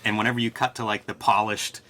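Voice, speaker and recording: A man's voice: lowish voice, little pitch movement, fast talker, bright, forceful tone, slight background noise. A man co-hosting a casual comics discussion, talking in a conversational, analytical way. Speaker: co-host and comics artist.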